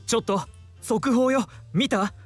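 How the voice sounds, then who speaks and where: A man's voice: very high voice, brisk, no echo. A man playing a flamboyant, gossipy bar character in a Japanese visual novel, with music behind. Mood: gossipy, concerned.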